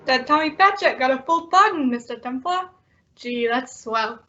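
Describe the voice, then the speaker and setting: A simple, good-hearted tough guy's sidekick played by a woman, high in pitch. The talk is slangy, shy and halting, in the style of a radio play with muffled sound. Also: lively intonation, pushed and bright, a little hiss or hum. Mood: earnest. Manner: hesitant, slangy, deferential. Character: simple, loyal, bashful tough-guy male sidekick. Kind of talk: old-time radio drama acting. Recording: dull, muffled lo-fi audio.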